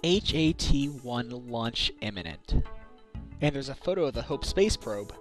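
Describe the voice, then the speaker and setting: An earnest, determined lawyer from a video game, acted by a man, speaks with a medium pitch and very lively intonation. Faint music plays behind. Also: a little slow, close to an everyday voice. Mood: curious. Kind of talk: fan dub of a visual-novel video game.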